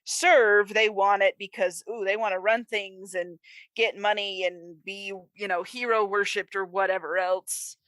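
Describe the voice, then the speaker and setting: Woman podcaster w bright forward voice, super emphatic casual chat, really dry close sound. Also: medium pitch, steady tone, measured pace, dry recording, low-quality recording. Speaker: podcast co-host. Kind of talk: casual chat, film discussion.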